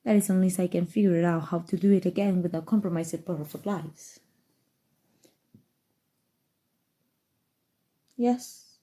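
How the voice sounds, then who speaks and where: A woman playing a calm, seductive, obsessive evil sorcerer in an intimate, coaxing ASMR roleplay, with dramatic pauses. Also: fairly low pitch, creaky, breathy edge. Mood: grave, measured. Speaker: voice actor. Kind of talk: ASMR roleplay, scripted acting.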